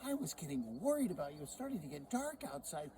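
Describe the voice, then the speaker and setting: A man voicing a gushing, high farm wife. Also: sing-song, quick pace, mellow. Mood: delighted. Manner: fluttery, easily delighted. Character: cheerful doting farm wife. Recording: noisy recording.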